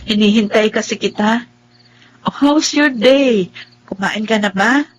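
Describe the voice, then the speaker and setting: An actress playing a gentle, devoted blind young wife in a radio drama, speaking tenderly and pleadingly. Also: female voice, fairly high pitch, a little slow. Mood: eager, tender. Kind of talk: radio drama acting with first-person narration.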